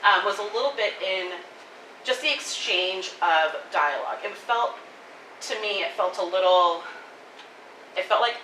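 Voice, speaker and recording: A woman giving spoken feedback at a live table reading, talking in a chatty, animated way full of "like". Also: mid-range voice. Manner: animated, fast, many "like" fillers, laughing. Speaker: audience member giving feedback. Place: coffee shop event room. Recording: echoey room, far from the mic, faint noise.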